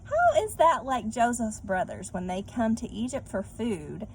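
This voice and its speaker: A woman reading and discussing a children's story like a teacher, expressively and speaking directly to young listeners, with clear background noise. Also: on the high side, wildly swooping pitch, measured pace, rough recording. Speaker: read-aloud teacher. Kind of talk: children's story read-aloud with questions to listeners.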